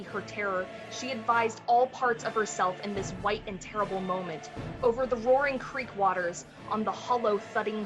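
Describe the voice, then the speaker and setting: A woman's voice: mid-range voice, lightly acted, low-quality recording. A woman playing the storyteller of a thriller, narrating intensely and with suspense in the manner of a 1940s radio play. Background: music.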